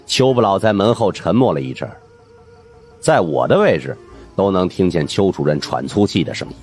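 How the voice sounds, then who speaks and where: A man with a husky voice narrating a supernatural mystery audiobook in a dramatic scripted style. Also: low-pitched, big pitch swings, moderate pace, darkish tone, soft background music.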